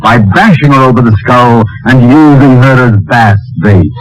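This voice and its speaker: An actor playing a pompous, theatrical middle-aged husband who fancies himself a hero in a 1940s radio comedy. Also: male voice, medium pitch, normal speed, close to an everyday voice, low-quality recording. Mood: conspiratorial alarm. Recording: old radio recording with studio audience.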